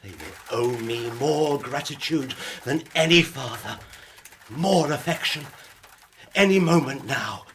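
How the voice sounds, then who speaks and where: Over clear background noise from a live stage, a tormented young scientist in a radio play, obsessed and grandiose. He declaims slowly and impassioned, with pitch that swings widely. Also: animated, a bit bright. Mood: exultant, grandiose. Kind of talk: theatrical radio drama monologue. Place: theatre stage, live performance. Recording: noisy live stage recording.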